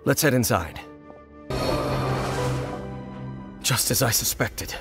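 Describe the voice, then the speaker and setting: An actor playing a grave, aloof swordsman guardian in a fantasy video game, speaking in a measured, formal way. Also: medium pitch, animated, gravelly. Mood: urgent, commanding. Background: background music.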